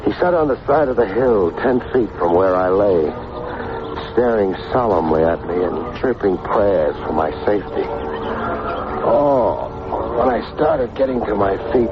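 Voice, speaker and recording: Male hard-boiled radio detective, low lively voice, wry slangy wisecracks, noisy old recording. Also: lo-fi sound. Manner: wry, slangy, clipped questioning. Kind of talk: 1950s radio detective acting, first-person narration.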